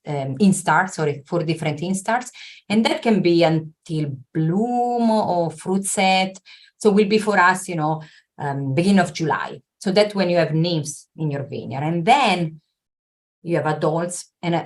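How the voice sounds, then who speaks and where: A middle-aged woman with an Italian accent, a researcher answering interview questions in a steady explanatory way, with frequent fillers. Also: low voice. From Italy. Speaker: viticulture researcher guest.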